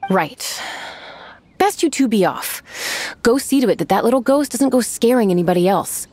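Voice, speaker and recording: A woman playing a composed, shrewd innkeeper in a video game, knowing, teasing and unhurried. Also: a little high, lively intonation, a little mellow. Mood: composed instructing. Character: shrewd, composed innkeeper boss lady, coolly amused.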